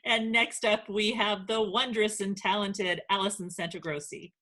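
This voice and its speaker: A woman's voice: medium pitch, steady tone, moderate pace, sharp tone. A woman hosting and narrating a children's read-aloud as its author.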